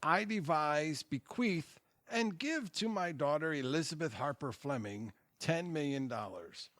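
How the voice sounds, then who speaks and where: A man playing a formal, apologetic family lawyer in an audio drama, speaking in measured, polite phrases. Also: on the high side, animated, fairly bright tone, no room sound. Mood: solemn. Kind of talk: audio theatre acting.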